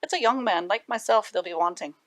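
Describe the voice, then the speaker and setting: A woman's voice: medium pitch, fairly quick, a bit bright, natural voice. A woman voicing a boastful, greedy young male village harpist in a storybook, sneering and self-important.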